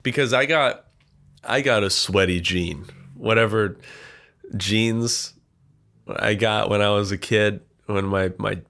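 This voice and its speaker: A man's voice: fairly low pitch, slow pace, creaky, a bit bright. Congested nasal young man rambling. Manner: rambling, self-deprecating, with frequent fillers. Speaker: podcast host. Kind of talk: casual comedic monologue. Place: small warm room with a computer.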